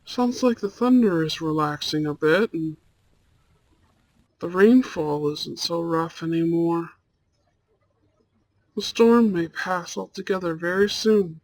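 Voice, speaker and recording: A man's voice: on the high side, slow, long pauses, dry recording, poor audio. A man playing a handsome, protective, flirtatious vampire in an intimate ASMR roleplay, murmuring soothingly and often near a whisper. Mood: caring, reassuring. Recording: faint storm noise behind. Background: rain and thunder.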